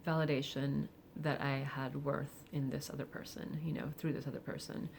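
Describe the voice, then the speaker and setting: A woman of forty speaking candidly and reflectively in a personal vlog, with soft laughs and hesitant fillers. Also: a bass voice, level tone, moderate pace, gravelly, slight background noise. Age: 40s. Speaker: vlogger. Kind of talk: personal confessional monologue.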